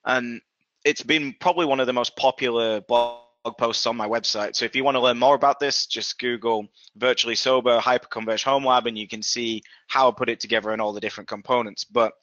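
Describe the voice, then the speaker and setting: A man's voice: medium pitch, pushed and bright, dry recording, highs cut off. A man in his thirties with a Yorkshire accent, presenting casually as a guest on a web call, chatty and self-deprecating. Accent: Yorkshire English. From Yorkshire, England. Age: 30s.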